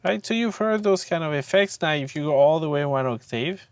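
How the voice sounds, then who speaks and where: A tutor giving an informal software demonstration, speaking in a hesitant, halting way with frequent pauses. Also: medium pitch, lively intonation, smooth. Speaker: audio mixing tutor.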